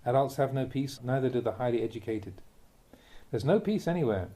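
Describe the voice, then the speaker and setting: A man reading a Buddhist teaching aloud in measured, deliberate short phrases, in the manner of a spoken audiobook. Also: medium pitch, animated, warm tone. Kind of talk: read-aloud dharma talk, audiobook narration.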